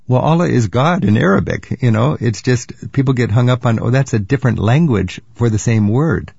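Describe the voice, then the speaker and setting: Older man, travel radio host, curious interviewer, husky voice, muffled dry recording. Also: mid-range voice, expressive, normal speed, darkish tone, dry recording. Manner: curious, chatty, thinks aloud with fillers.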